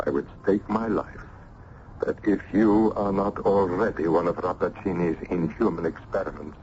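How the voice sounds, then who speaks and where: A man's voice: low voice, normal speed, darkish tone, natural voice. An actor playing a grave, learned man in an old-time radio drama, speaking in a solemn, emphatic, formal way. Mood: grave warning. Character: grave male learned acquaintance, urgently warning a young man. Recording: muffled, noisy vintage radio recording.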